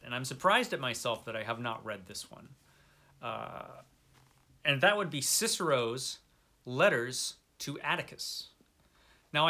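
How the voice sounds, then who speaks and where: Bright-voiced middle-aged man chatting casually. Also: medium pitch. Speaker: book vlogger. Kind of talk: casual chat to camera, book recommendations.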